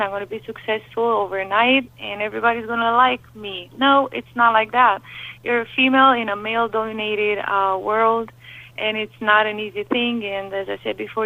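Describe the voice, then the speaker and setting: A young woman with a Romanian accent, a lead singer telling her story in a phone interview, candid and animated with lots of fillers. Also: a little high, no room sound. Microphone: telephone. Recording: tinny phone line, dry.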